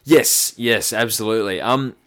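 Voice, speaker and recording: A man's voice: lowish voice, normal speed, fairly bright tone. A man with an Australian accent co-hosting a podcast in casual, jokey banter, sometimes playfully mock-grand. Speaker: podcast co-host.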